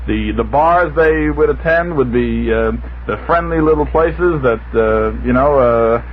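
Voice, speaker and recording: A man's voice: medium pitch, unhurried, narrow, tinny audio. A man talking in an unscripted radio panel discussion as a reporter guest, hesitant and often stammering as he thinks aloud. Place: radio studio. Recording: noisy band-limited 1960s radio broadcast recording.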